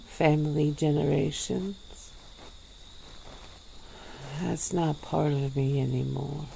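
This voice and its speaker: A woman voicing a centuries-old female vampire in an ASMR roleplay, speaking in a slow, drawn-out, purring way. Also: flat delivery, vocal fry, a little hiss or hum, full character voice, rough recording. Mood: languid. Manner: slow, low, drawn-out purring delivery with soft laughs.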